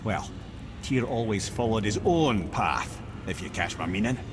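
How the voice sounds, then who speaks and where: An actor with a Scottish accent playing a wry, talkative old storyteller and advisor in a video game. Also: male voice, on the low side, lively intonation, unhurried. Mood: intrigued, explaining. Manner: wry, chatty, colourful turns of phrase. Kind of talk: video game character acting. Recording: clear background noise, game ambience.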